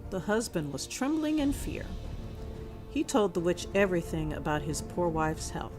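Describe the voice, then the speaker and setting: A woman reading a scripted children's fairy tale as a measured storyteller. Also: fairly low pitch, very animated. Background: music.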